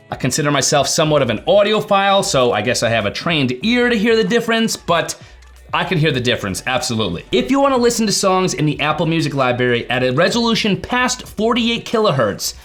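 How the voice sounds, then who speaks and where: Male tech vlogger, higher voice, very lively intonation, chatty and emphatic explainer delivery. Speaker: tech video presenter. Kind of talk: explainer vlog to camera.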